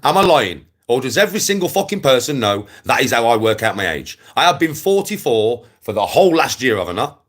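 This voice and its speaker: A man's voice: mid-range voice, big pitch swings, brisk. A man in his forties with an English accent, ranting casually on a livestream, bluntly and with plenty of swearing, hammering points home by repeating them. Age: 40s. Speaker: live streamer.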